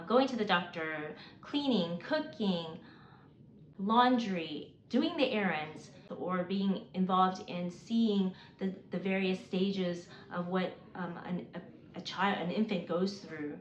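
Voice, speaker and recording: A woman in her forties, raised in the American South, talks through her reasons in an earnest, measured way, sometimes stumbling and restarting. The recording sounds roomy and a little dull, with a faint hiss underneath. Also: lowish voice. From South Korea, raised in Mississippi. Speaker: homeschooling mom. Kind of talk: reading a prepared list.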